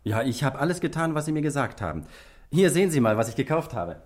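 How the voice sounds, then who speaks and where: An actor in a 1960s German radio play, playing an eager, bashful young junior police detective who speaks a little hesitantly. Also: male voice, mid-range voice, sing-song, fast talker. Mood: eager, pleased. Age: young adult.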